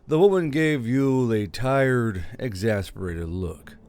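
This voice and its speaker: A man's voice: mid-range voice, animated, unhurried, darkish tone. A man reading a scripted fantasy audiobook as its narrator. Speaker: audiobook narrator, channel host.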